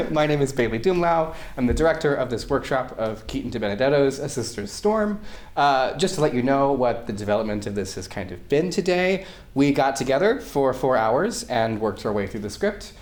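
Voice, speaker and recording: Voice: medium pitch, sing-song, moderate pace, darkish tone. A director giving an informal introduction to a staged reading, speaking to an audience. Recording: echoey room.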